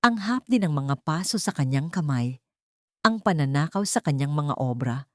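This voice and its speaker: Expressive low-voiced woman telling a dramatic story. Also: normal speed, dry recording, studio quality. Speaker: narrator. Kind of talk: dramatic scripted story narration.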